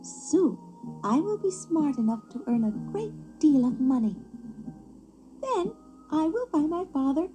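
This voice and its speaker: Storybook puppet boy played by a woman: young, naive, eager, childlike, high and animated. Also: a little slow, faint music behind, rough recording. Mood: eager, hopeful. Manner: excited, wide-eyed, childlike.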